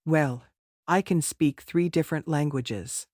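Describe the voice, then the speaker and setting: Slightly high-voiced guy reading practice exam answers, formal and rehearsed, dead dry sound. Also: a little mellow, no room sound. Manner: formal, rehearsed, measured delivery. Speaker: test candidate. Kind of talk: scripted mock speaking-test answers.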